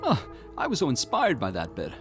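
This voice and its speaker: A man voicing a naive, excitable occult fan in a visual novel, gushing, earnest and easily shocked. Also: medium pitch, fairly quick, faint music behind, voice pushed up. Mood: dismayed, earnest. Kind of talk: acted visual novel dialogue.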